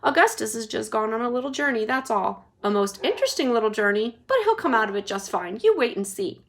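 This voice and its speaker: A woman voicing an excitable, eccentric male chocolate maker in a children's story, theatrical in manner. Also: fairly high pitch, brisk. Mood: soothing. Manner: theatrical, fluttery pleading.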